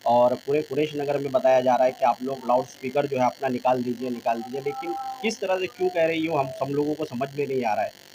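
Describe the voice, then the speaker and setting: A man's voice: medium pitch, fairly quick, warm tone, slight background noise, low-quality recording. A man with a Mumbai Hindi accent giving an interview statement, appealing insistently and repeating his points. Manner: insistent, repetitive. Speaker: local mosque representative being interviewed.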